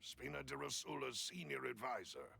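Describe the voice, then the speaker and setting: An actor playing a loyal, methodical butler in a video game, speaking in a measured, deferential and formal way. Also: male voice, medium pitch, animated, vocal fry, bright, forceful tone, full character voice. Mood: measured, explaining. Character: loyal, methodical male butler serving a crime boss's daughter. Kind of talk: scripted video game dialogue. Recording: music behind the voice.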